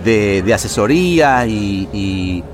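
A man hosting a casual interview, relaxed and slangy, often saying "güey". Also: lowish voice, takes it slowly, crowd noise. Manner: relaxed, slangy, frequent "güey" and "qué chingón". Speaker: podcast host.

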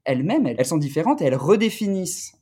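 A man speaking as an academic researcher in an interview, explaining in a deliberate, halting, scholarly way. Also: fairly high pitch, big pitch swings, mellow, husky.

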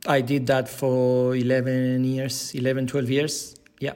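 Argentinian man, middle-aged, native Spanish speaker, medium pitch, podcast guest talking conversationally. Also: completely flat delivery, unhurried, darkish tone. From Buenos Aires, Argentina. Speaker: podcast guest, digital content manager. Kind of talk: conversational interview answers.

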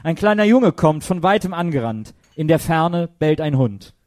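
A man's voice: on the high side, big pitch swings, normal speed, a little mellow. A man narrating a radio play in a dramatic, scripted storytelling style.

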